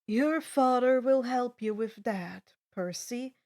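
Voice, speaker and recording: A woman voicing a gentle old male centaur mentor who talks in a calm, formal, measured way. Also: fairly high pitch. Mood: calm, reassuring.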